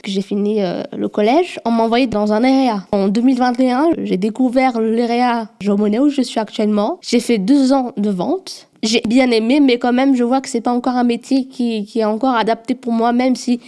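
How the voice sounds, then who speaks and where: A woman's voice: a little high, fairly even intonation, a little fast, few pauses, mellow. A woman of twenty, from Portugal, asking simple, direct questions in a casual interview. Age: 20s. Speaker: podcast interviewer.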